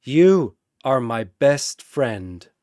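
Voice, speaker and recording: A man's voice: mid-range voice, big pitch swings, extremely slow, a little mellow, no room sound, studio quality. A man voicing an oblivious, awkwardly cheerful man in a comic battle story.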